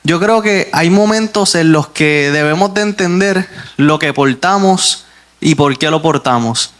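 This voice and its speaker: A Puerto Rican man hosts a faith podcast, speaking at a slightly raised pitch. He leads the talk with earnest, reflective questions. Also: a little slow, a bit bright. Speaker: podcast host.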